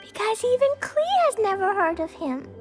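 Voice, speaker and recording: A woman voicing a small, cute, earnest and excitable little girl in a video game, with a childlike, sometimes halting way of talking. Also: very high voice, music in the background. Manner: childlike, refers to self in third person, halting over hard words. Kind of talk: video game character voice acting.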